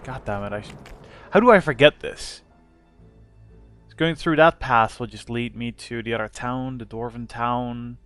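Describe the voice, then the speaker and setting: Young man with a slightly rough voice. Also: medium pitch, unhurried, fairly bright tone, no room sound, soft background music. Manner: rambling, self-correcting. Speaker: let's play host. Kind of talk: casual gameplay commentary.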